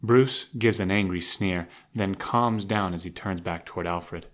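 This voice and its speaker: Lowish-voiced male drama narrator. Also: unhurried. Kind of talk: scripted audio drama narration.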